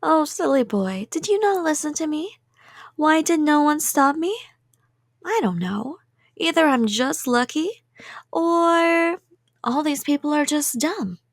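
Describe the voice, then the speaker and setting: High, sweet obsessive yandere girlfriend acted by a woman. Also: lively intonation, takes it slowly, no room sound, clean studio sound, exaggerated voice. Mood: playful, gleeful. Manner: sweet, rambling, playful, drifts into whispers. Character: cheerful, obsessive yandere woman, a doting delusional kidnapper. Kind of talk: ASMR roleplay monologue.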